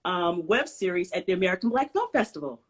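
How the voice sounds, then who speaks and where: Upbeat husky woman hosting an interview. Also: lowish voice, expressive, quick pace. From born in Brooklyn, raised in Virginia. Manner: effusive, upbeat, many fillers and restarts. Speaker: interview host. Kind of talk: casual conversational interview.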